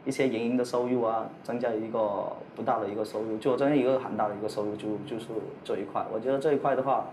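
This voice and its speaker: A young man, a businessman in an office, answering an interview haltingly and often repeating phrases. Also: mid-range voice, steady tone, darkish tone, a little hiss or hum. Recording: roomy indoor sound.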